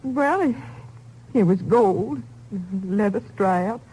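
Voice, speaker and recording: An actress playing a grieving, bewildered small-town widow, tearful and halting, with folksy speech, in a 1950s radio drama. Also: female voice, mid-range voice, expressive, dark tone, breathy edge, rough recording. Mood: shaken, hesitant. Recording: old 1950s radio recording.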